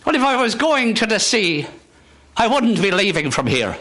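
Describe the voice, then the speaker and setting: High-pitched Irish country farmer. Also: male voice, very animated, normal speed. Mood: genial, rambling. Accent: stage Irish. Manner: folksy, rambling, teasing. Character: chatty genial country farmer on a horse cart. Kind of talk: live radio theatre. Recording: hissy live radio studio recording.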